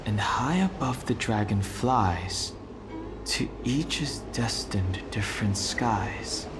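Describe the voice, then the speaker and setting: An actor voicing a solemn, thoughtful young man in a video game, measured and reflective, like a scholarly guide, over music. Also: fairly low pitch, lively intonation. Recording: loud game music behind.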